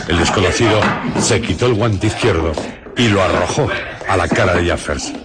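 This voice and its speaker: A man narrating a radio drama in a measured, literary storytelling style. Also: sits low.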